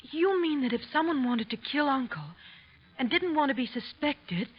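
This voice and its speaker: A woman's voice: high voice, expressive, normal speed. An actress playing an anxious, uncertain young lady in an old radio drama, speaking hesitantly. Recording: dull vintage radio recording.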